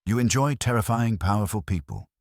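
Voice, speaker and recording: A man's voice: on the low side, expressive, brisk, no echo, studio quality, pitched above the performer's own voice. A man voicing a wry, direct detective's assistant in an audiobook, colloquial and probing.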